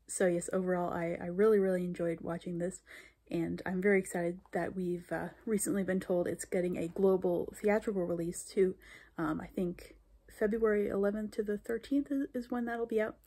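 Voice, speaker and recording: A woman's voice: fairly low pitch, mellow, slightly rough. A young woman in her twenties chatting in a casual vlog recap, full of fillers and restarts, recorded on a handheld phone in a small bedroom. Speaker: vlogger. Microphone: phone camera held close.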